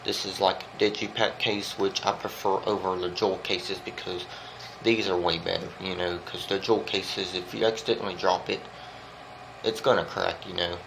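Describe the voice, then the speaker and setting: Low-voiced guy w a stuffy cold nose doing a rambly album review, noisy recording. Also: normal speed, gravelly. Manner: earnest, rambling and repetitive. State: congested from a winter cold. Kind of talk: casual music review vlog. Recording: steady background noise.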